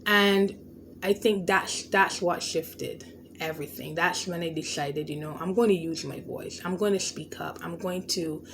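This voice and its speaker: A woman's voice: on the low side, unhurried, noisy background. A woman with a stutter and a lisp, hosting a podcast and talking through a personal story in an earnest, reflective way, often restarting words. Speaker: podcast host. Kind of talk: unscripted personal monologue.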